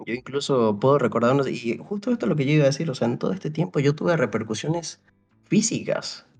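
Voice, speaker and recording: A young man from Paraguay with a gravelly voice. His pitch is ordinary for a man. He talks loosely and rambles, circles back with "o sea", and acts out what people said to him. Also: expressive, fast talker, darkish tone, faint music behind. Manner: rambling, full of restarts and "o sea", re-enacts dialogue. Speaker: interview guest. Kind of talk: casual storytelling chat.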